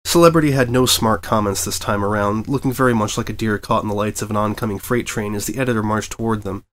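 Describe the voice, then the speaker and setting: A man narrating a fan fiction story as an audiobook reader, with steady, expressive storytelling. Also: on the low side, fast. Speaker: fanfic reader.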